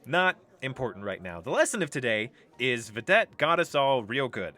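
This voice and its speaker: A man's voice: mid-range voice, sing-song, moderate pace, pushed and bright. A man playing an easygoing, wry leader of a biker crew in a comedic audio drama.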